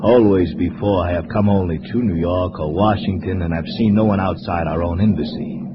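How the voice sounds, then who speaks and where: An actor playing a naive, self-satisfied communist diplomat from abroad in a 1950s radio drama, speaking in stiff, formal, hesitant phrases. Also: male voice, deep voice, brisk, natural voice. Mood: pompous, earnest. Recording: muffled, noisy vintage radio recording.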